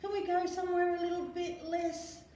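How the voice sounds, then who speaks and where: A woman voicing excitable young children in a picture book, with exclamatory, stretched-out calls. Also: very high voice, normal speed, recorded from a distance, voice pushed up. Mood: pleading, questioning. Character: excitable young children, a boy and girls on adventure. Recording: very echoey room, faint noise.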